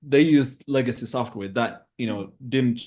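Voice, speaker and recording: A man's voice: mid-range voice, darkish tone. Hesitant, reflective man in his thirties. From Zimbabwe. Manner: hesitant and reflective, many filler pauses. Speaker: interview guest. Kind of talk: casual interview talk.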